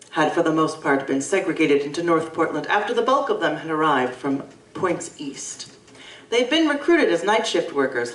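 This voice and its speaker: Woman narrating, low and measured. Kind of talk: scripted first-person narration. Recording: roomy live stage from a distance.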